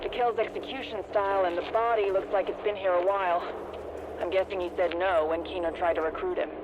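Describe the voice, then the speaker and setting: A woman's voice: mid-range voice, steady tone, a little fast. Game role: matter-of-fact woman field agent, middle register, military radio talk, dull crackly link. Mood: curious, matter-of-fact. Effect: radio transmission. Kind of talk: dramatic acting in a video game audio log. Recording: muffled, noisy radio-filtered sound.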